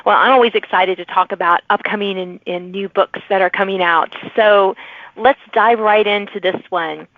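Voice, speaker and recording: A woman's voice: mid-range voice, steady tone, normal speed, narrow, tinny audio. A woman hosting a conversational podcast interview with authors. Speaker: podcast host.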